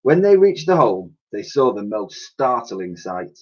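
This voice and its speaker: A man reading a children's storybook aloud in an expressive, dramatic way, with the odd stumble. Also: fairly low pitch, unhurried, breathy edge. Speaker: primary school teacher reading aloud. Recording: dull lo-fi home recording.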